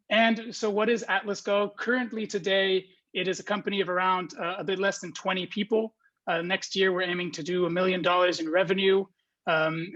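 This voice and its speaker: A man's voice: sits high, a bit bright, dull audio. A man in his twenties from Belgium, giving a friendly, informal talk to students as a guest speaker, with many ums and restarts. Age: 20s.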